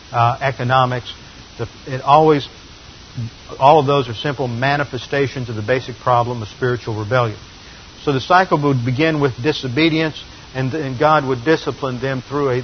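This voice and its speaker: A man teaching a Bible passage verse by verse, explaining and correcting himself as he goes. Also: mid-range voice. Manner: explanatory, with frequent fillers and self-corrections. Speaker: pastor teaching a Bible study. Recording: slightly dull lo-fi recording with faint hiss.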